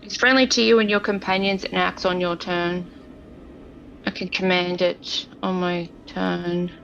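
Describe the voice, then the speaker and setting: A woman's voice: lowish voice, fairly even intonation, a little slow, vocal fry, fairly bright tone. A woman speaking as a player in a tabletop game, casually discussing rules and moves. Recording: slightly muffled, faint background noise.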